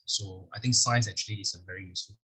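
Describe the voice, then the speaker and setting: A man's voice: deep voice, fairly even intonation, quick pace, a bit bright, husky, rough recording. A man with a Singaporean English accent presenting a school curriculum at a virtual event. He explains patiently, often leaning on "actually" and small fillers. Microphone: video call microphone.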